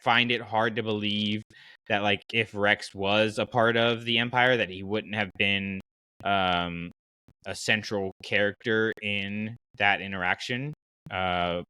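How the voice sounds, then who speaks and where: A man hosting a casual fan podcast, talking in an animated, rambling way as he thinks aloud. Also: on the low side, takes it slowly, clear, resonant tone, dry recording. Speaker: podcast host.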